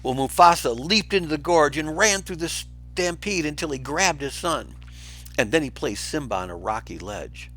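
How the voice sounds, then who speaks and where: A man narrating a children's story aloud in a dramatic, theatrical and expressive way. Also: on the high side, normal speed, faint music behind. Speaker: storybook reader for schoolchildren.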